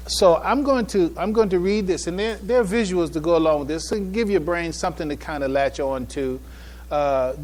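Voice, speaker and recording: A man giving a public address as a presenter, speaking in a halting way with repeated phrases and restarts. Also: on the high side, a little mellow. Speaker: conference speaker presenting a paper. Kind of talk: public address, informal preamble then reading a paper aloud. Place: public event before an audience.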